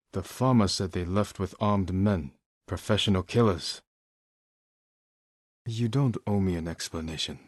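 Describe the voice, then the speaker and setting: A stoic samurai hero from a video game. His voice is low and husky, recorded very dry and close. He speaks with grave, measured restraint and honorable determination. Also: darkish tone, dry recording. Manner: measured, earnest, restrained delivery. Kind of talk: scripted video game voice acting.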